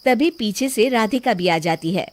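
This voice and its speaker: A woman narrates a children's cartoon story in a high voice. Her delivery is scripted storytelling, telling events in order for young listeners. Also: lively intonation, moderate pace, a little mellow.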